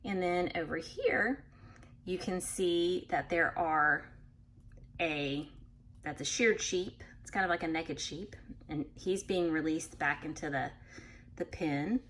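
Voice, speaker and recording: Slow-paced woman reading aloud to children. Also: on the low side. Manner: animated, acts out animal sounds, addresses young listeners; explains patiently in simple words. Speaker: read-aloud storyteller for children. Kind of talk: expressive picture-book reading with simple explanations for children.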